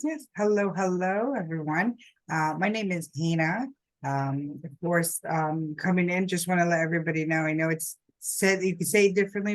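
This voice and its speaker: A woman in her thirties from the Philippines, guest on a podcast, telling her story in an upbeat, animated way. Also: on the low side, dry recording. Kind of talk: casual storytelling conversation.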